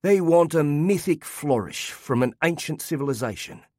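A man's voice: fairly high pitch, lively intonation, normal speed, a little mellow, no echo, clean studio sound, pitched above the performer's own voice. A man voicing a sly, worldly old male memory-keeper who speaks with a broad Australian accent and a teasing, jaunty lilt. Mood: mocking.

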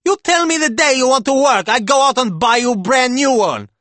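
A fierce woman with a heavy foreign accent, crowing with open scorn, as a male narrator puts her on. Her voice sits high and leaps around, bright and sharp. The sound is close and dry. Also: expressive, brisk, no room sound, full character voice. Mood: scornful.

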